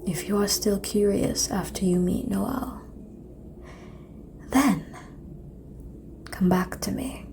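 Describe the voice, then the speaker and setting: Whispering, seductive ancient vampire played by a woman. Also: mid-range voice. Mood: teasing, inviting. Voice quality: breathy, whispery. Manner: slow, teasing, formal old-fashioned phrasing. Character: seductive, ancient female vampire, amused and quietly menacing. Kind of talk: ASMR audio roleplay.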